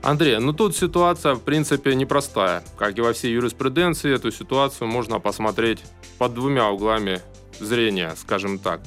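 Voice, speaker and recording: A male lawyer guesting on a morning radio show, laying out the law in a measured, explanatory way. His voice sits in a middle pitch. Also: a bit bright, soft background music. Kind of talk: radio interview answers.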